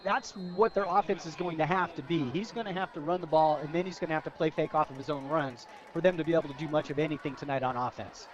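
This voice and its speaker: An American man talks rapidly in a somewhat high voice. He is the color commentator on a live football radio broadcast, chatting through analysis of the plays. The sound is a bit dull and lo-fi. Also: a crowd in the background.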